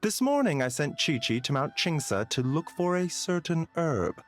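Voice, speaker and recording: An actor playing a polite, composed doctor in a video game, speaking formally and carefully. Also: male voice, a little high, sing-song, a little slow. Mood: thoughtful. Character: courteous, composed male physician, measured and modest. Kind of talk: English game voice acting.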